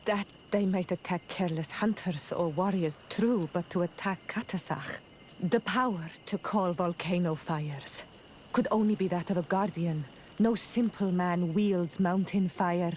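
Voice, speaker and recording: An actress playing a thoughtful, serious islander in a video game, earnest and reflective. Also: female voice, fairly low pitch, unhurried, darkish tone, a little hiss or hum, lightly acted, low-quality recording. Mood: grave, puzzled. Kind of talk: video game cutscene acting.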